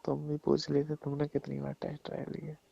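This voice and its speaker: A man's voice: on the high side, completely flat delivery, brisk, creaky, mellow, slightly rough. A young man in a casual private phone chat, talking in a teasing way with short questions. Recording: dull lo-fi phone call recording.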